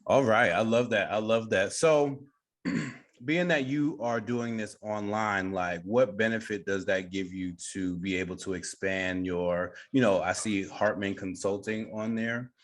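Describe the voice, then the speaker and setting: A man's voice: on the low side, unhurried. A man hosting a conversational radio interview, speaking to his guest over a video call. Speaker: radio show host, interviewer.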